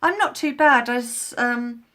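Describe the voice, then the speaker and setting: A woman's voice: on the high side, measured pace, bright, forceful tone. Woman with a head cold. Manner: rambling, chatty, many fillers and restarts. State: congested with a head cold, coughing. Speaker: craft vlogger. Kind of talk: casual live-stream chat while crafting. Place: at home indoors. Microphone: tablet.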